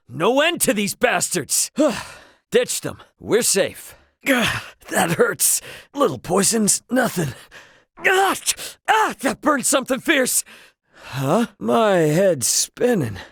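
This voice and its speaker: An actor with an American accent playing a brash, easygoing spacefaring hero in a video game, with a cocky, slangy, joking delivery. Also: male voice, high voice, wildly swooping pitch, takes it slowly, creaky, fairly bright tone, slightly rough, no echo, clean studio sound, heavily acted. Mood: pained, weary. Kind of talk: video game voice lines, battle barks and chatter.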